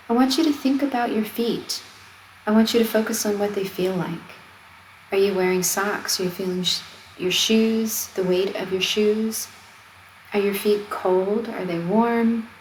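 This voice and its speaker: A woman's voice: medium pitch, rough recording. Woman, mid-range voice, meditation guide, soothing informal instruction, faint wave noise behind. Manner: gentle, soothing, conversational instruction. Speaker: meditation guide, wellness coach. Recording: steady noise of ocean waves. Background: ocean wave sounds.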